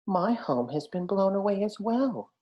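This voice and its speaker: A woman voicing a small, kind young male hedgehog in a storybook, bright and earnest. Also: mid-range voice, very animated, measured pace, highs cut off, pitched above the performer's own voice.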